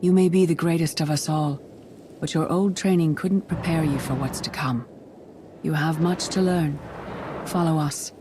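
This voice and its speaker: Game role: actress as weary female Jedi mentor, low mellow voice, grave measured delivery, cryptic air. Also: steady tone, a little hiss or hum, lightly acted. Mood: grave, instructive. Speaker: video game character voice actor. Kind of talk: scripted dramatic game dialogue.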